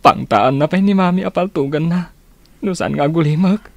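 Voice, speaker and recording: Higher-voiced, sorrowful son. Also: male voice, moderate pace, gravelly. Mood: distressed. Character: troubled grown son confiding about his parents. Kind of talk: Ilocano radio drama acting.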